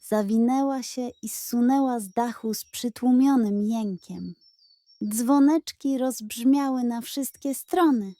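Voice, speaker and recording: A woman narrating a scripted children's audiobook in a gentle, expressive storytelling manner. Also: on the high side, no room sound.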